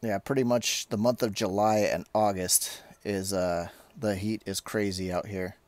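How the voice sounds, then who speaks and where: A man with a fairly low voice hosts a casual, hands-on tech update. He talks informally and haltingly, rambling a little as he goes. The sound is dry and close, as from a handheld camera. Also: fairly even intonation, darkish tone, dry recording. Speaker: tech video maker, host.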